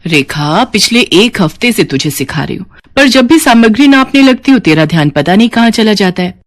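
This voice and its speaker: A woman's voice: mid-range voice, expressive, normal speed, close to an everyday voice. Woman voicing a nagging, exasperated Indian-story mother. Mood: exasperated scolding. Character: scolding, exasperated middle-aged mother.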